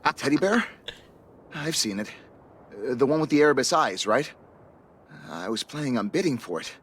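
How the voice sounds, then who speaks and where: A man's voice: medium pitch, big pitch swings, normal speed, breathy edge. A man voicing a timid, hapless, fretful traveling trader in a video game. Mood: sheepish.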